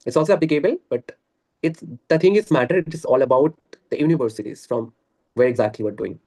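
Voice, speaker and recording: A man's voice: mid-range voice, fast, darkish tone. A man talking earnestly and at length on a video call, laughing often. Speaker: guest. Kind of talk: casual conversation practice.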